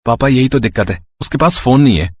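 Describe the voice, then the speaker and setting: Man acting a young student, earnest, boyish, husky voice, dry storybook recording. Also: mid-range voice, expressive, fast, dry recording, pitched above the performer's own voice, lightly acted. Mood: worried. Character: friendly, earnest young male college student, rich builder's son.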